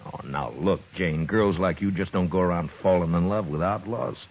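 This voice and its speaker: Gruff low marshal, blunt and firm, husky, muffled old radio sound. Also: male voice, normal speed. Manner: firm, dry, blunt and threatening. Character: stern frontier town marshal, man, middle-aged. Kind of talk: 1950s radio drama acting. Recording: muffled vintage radio transcription.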